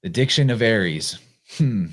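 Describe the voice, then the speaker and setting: A man with a fairly low voice hosts a live podcast in easy, casual conversation. He talks in a relaxed way and reads listener comments aloud between thoughts. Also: moderate pace. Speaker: podcast host.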